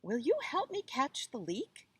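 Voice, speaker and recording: A woman's voice: high-pitched, sing-song, unhurried, fairly bright tone, husky, no room sound, pitched above the performer's own voice, full character voice. A woman voicing a frightened wolf asking for help in a children's folktale, speaking urgently and emphatically. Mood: urgent, fearful. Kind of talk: children's folktale read aloud.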